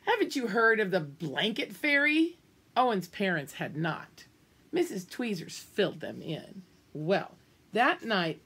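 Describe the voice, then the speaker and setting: A woman's voice: mid-range voice, husky. An older woman reading a picture book aloud to young children, with exaggerated emphasis and friendly asides to her listeners. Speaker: children's storybook reader. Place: small room at home, indoors.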